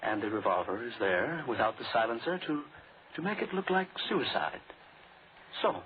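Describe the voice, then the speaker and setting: Male hitman, acted: courteous, smug, clipped, with an animated melody, on a tinny old radio recording. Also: mid-range voice, moderate pace, slight background noise, close to an everyday voice. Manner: clipped, formal, self-satisfied. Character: smooth, smug, coldly polite hired killer. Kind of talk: 1940s radio thriller acting.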